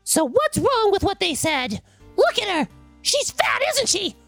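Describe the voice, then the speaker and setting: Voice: very high voice, sing-song, a little slow, fairly bright tone, no echo, soft background music, pitched above the performer's own voice, full character voice. A narrator voicing an indignant, sneering entitled mother in a dramatized online story. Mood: mocking. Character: scoffing entitled mom, shrill and mocking.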